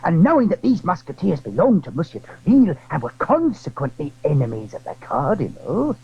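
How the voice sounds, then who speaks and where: A man playing an anxious, courteous landlord who confides secrets in a halting, earnest way in an audio drama. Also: on the high side, wildly swooping pitch, slightly rough, muffled recording, heavily acted. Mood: earnest, flattering.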